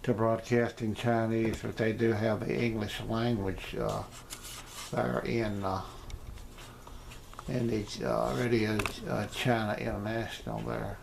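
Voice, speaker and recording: A man casually demonstrating shortwave radios in an unscripted, halting way, thinking aloud as he goes. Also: fairly low pitch, level tone, vocal fry. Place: indoors beside shortwave radios. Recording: noisy room with radio static. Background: radio broadcasts and static.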